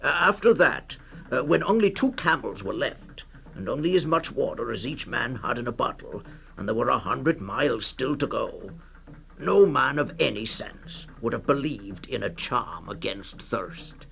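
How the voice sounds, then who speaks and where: An actor playing a hesitant male storyteller in a 1960s radio reading, gravelly and halting, telling a grim tale with many uhs and pauses. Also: mid-range voice, lively intonation, bright, forceful tone, full character voice. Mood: measured, grave. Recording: 1960s radio production with music.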